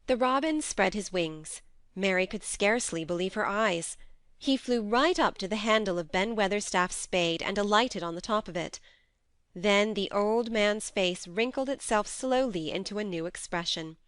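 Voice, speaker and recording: A woman reading a classic novel aloud as a steady, measured audiobook narrator on a close mic. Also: medium pitch, dry recording.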